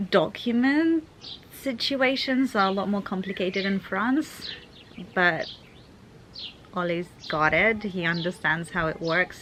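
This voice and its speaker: A young woman talks casually to her camera, her voice sitting a little low. She chats along, thinking aloud and restarting sentences as she goes. A faint hiss runs under the recording. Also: a bit bright. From Ukraine. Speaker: vlogger. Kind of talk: casual vlog chat to camera.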